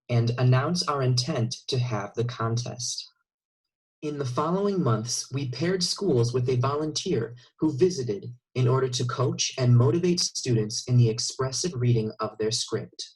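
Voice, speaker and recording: A man reading scripted narration as the narrator of an informational video, with a slightly husky voice. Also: medium pitch, rough recording.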